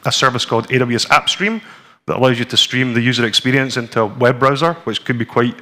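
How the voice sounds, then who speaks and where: A Scottish man in his forties presents at a tech conference, talking the room through slides and a live demo. His delivery is relaxed and conversational, with plenty of ums and restarts. He speaks at a middle pitch in a plain, easygoing way. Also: fast talker. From Scotland. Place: conference room with a screen and audience.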